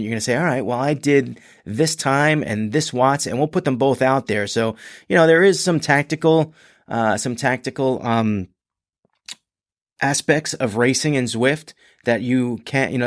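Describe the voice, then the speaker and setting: A man hosting a podcast in a rambling, chatty solo talk, his voice a little hoarse and congested. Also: mid-range voice, no echo, studio quality. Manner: rambling, chatty, many restarts and fillers. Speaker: podcast host. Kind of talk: casual solo podcast monologue.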